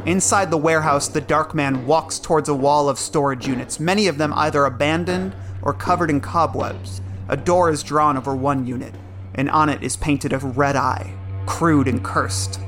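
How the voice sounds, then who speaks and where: A man delivers scripted dramatic narration, setting scenes as a storyteller would. His voice sits a little high. A clear music bed runs behind him. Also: steady tone, normal speed, fairly bright tone.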